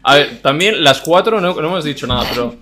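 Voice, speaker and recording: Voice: mid-range voice, animated, brisk. A podcast host chatting playfully with guests at a live show before an audience. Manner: playful, guessing and teasing. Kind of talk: casual live podcast chat. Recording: live audience crowd noise.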